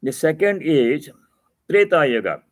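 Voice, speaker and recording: A man's voice: a little high, big pitch swings, a little slow, dry recording, studio quality. A man giving a religious discourse as a monk, reading scripture aloud and explaining it in a didactic, emphatic way, repeating key phrases for stress.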